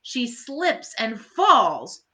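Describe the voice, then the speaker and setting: A woman reading a children's bedtime story aloud expressively, pausing to chat to her young listeners. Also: on the high side, big pitch swings, pushed and bright.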